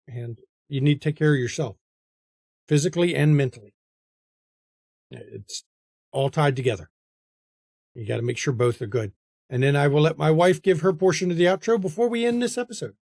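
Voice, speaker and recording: A man's voice: a little high, normal speed, gravelly, long pauses, a little mellow, no room sound, clean studio sound. A man with an American accent hosting a game stream in a casual, chatty style. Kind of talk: casual chat and stream outro.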